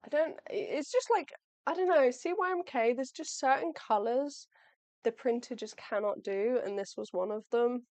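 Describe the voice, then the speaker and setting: A young woman with a fairly high voice chats casually and thinks aloud while packing orders, friendly and a little apologetic. Her voice is starting to go hoarse, and the sound is close and dry with no room echo. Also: moderate pace, darkish tone. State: losing her voice. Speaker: artist live-streaming shop orders. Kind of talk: casual livestream chat. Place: home workspace, packing orders.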